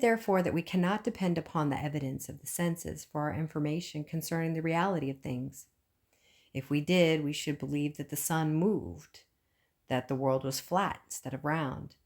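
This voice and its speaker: Low-voiced woman reading an audiobook. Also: normal speed, darkish tone. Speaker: audiobook reader. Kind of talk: scripted narration, reading a book aloud.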